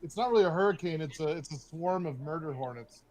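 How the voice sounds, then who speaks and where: A man's voice: a little high, fast talker, a little mellow, poor audio. A man trading casual, teasing banter as a guest on a group video call. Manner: teasing, jokey.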